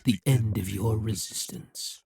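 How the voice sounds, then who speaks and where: A man's voice: lowish voice, unhurried, vocal fry, warm tone, slightly rough, dry recording, clean studio sound, exaggerated voice. A man voicing a possessive, scheming male demon king in an audio roleplay, talking in a coldly menacing, coaxing way. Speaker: voice actor.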